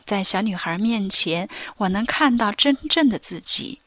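A young woman narrating a radio drama in first person, soft and confessional, often near a whisper. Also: medium pitch, lively intonation, a little slow, no room sound. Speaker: narrator and lead. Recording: dry studio voice with faint music.

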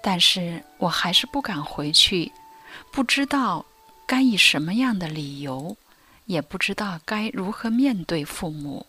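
Soft-spoken young woman narrator. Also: on the low side, animated, measured pace, husky. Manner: soft, confessional, often near a whisper. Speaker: narrator and lead. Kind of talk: first-person radio drama narration. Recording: dry studio voice with faint music.

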